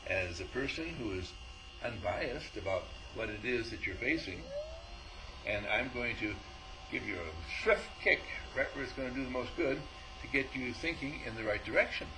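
Lively man telling teaching stories. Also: medium pitch, noisy background. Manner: animated storyteller, acts out dialogue, chuckles often. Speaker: spiritual teacher. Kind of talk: informal spiritual talk.

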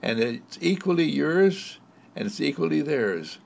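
A man voicing Mister Candle, a kindly talking candle, in a soft, soothing, praising manner. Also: on the high side, sing-song, measured pace, gravelly, voice pushed up, exaggerated voice. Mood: gentle.

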